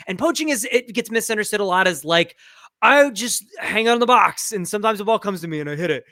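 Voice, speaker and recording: A man co-hosting a podcast, giving casual soccer analysis in an animated, emphatic way. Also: sits high, fast, dry recording, studio quality. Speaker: podcast co-host.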